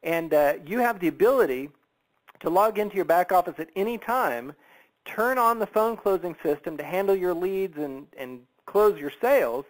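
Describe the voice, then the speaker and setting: High-pitched man giving an emphatic sales pitch. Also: expressive, normal speed, rough recording. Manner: persuasive, emphatic, conversational, with rhetorical questions. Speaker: sales presenter on a business opportunity conference call.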